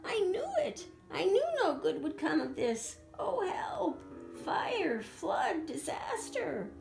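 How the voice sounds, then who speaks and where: A woman voicing a tiny, anxious male hedgehog who frets and squeaks. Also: sing-song, takes it slowly, gravelly, a little mellow, soft background music, exaggerated voice. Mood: panicked. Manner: squeaky, panicky.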